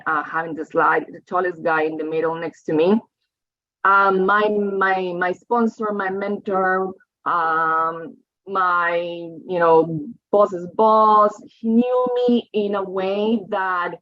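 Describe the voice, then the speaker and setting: Woman, 40s, lowish voice, reflective presentation, dry dull sound. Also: no room sound. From Colombia. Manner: reflective, frequent "right?" and "you know" tags. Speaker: guest speaker. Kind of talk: presentation talk over slides. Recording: dry, slightly dull audio.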